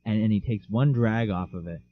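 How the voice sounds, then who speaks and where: A man's voice: fairly low pitch, brisk, no room sound. A young man co-hosting a casual podcast, telling rambling, jokey stories and mocking other people's voices. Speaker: podcast co-host. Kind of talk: casual chat. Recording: dull lo-fi mic.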